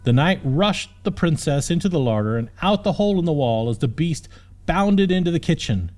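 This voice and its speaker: Animated male audiobook narrator. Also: on the high side, normal speed. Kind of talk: scripted comic fantasy narration.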